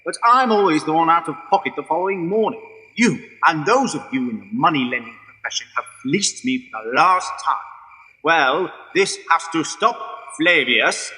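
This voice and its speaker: A man's voice: on the high side, expressive, moderate pace, bright, forceful tone. A man playing a pompous, blustering Roman emperor in a comic radio play, declamatory and dismissive. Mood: blustering, self-satisfied. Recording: long hall-like reverb.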